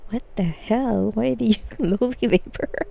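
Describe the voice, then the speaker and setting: A woman's voice: on the high side, normal speed, creaky, mellow, slight background noise. A woman streaming a game with casual live commentary, sometimes reading game text aloud.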